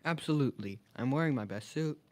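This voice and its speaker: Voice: medium pitch, lively intonation, fast talker, close to an everyday voice. A student actor playing a confident, level-headed teenage college boy in an amateur radio drama. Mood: resolute.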